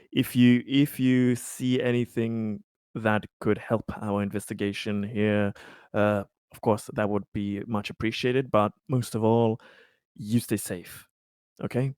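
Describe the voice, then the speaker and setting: Low-voiced man, halting roleplayer. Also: darkish tone, clear, resonant tone, no room sound, studio quality. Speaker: player in a tabletop roleplaying game. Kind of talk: speaking in character and casual game chat.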